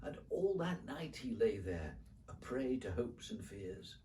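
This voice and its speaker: A man reading a children's poem as a dramatic storyteller, with rhythmic, theatrical, expressive phrasing. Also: mid-range voice, measured pace, distant mic, slight background noise. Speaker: poetry reader.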